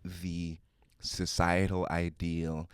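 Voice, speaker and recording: Deep-voiced young man, formal and precise. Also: completely flat delivery, clean tone, no room sound, studio quality. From Minnesota, USA. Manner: formal, carefully enunciated, measured phrasing. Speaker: podcast guest, musician and producer. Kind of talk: casual podcast chat.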